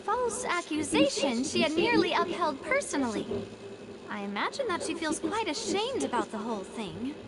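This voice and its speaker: Very high-voiced, playful genteel young lady. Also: very animated, normal speed, over a noisy crowd. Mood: playful, musing. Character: confident, genteel young woman leader, playful and gracious. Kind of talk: video game character acting.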